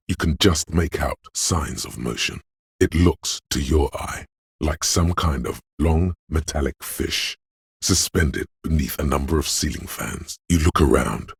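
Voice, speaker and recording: A man voicing the inner sense of perception in a video game, narrating what the hero notices in a measured, observant, second-person way. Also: a bass voice, creaky, breathy edge, no echo, heavily acted. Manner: measured, observant, softly descriptive delivery. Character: the hero's inner sense of perception, male, watchful and calm. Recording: dry close studio recording.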